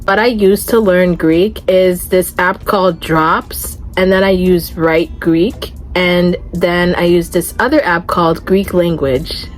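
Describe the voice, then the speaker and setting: Twenty-something woman vlogging, kinda low voice, chatty and earnest, soft music behind. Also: steady tone, slow pace, few pauses, low-quality recording. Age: 20s. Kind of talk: casual talking-to-camera vlog.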